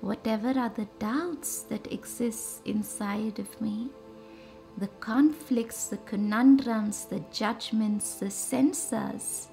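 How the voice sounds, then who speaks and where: Female meditation guide, unhurried and mellow with a touch of fry, over a music bed. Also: fairly high pitch. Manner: soothing, measured delivery in short pausing phrases. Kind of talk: guided meditation with slow, reverent visualisation.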